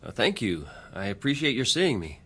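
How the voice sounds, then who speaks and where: An actor playing an eager, harried young job seeker in a radio drama, polite and a little hesitant. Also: male voice, medium pitch, very animated, moderate pace, sharp tone, slight background noise. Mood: polite, nervous. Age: young adult.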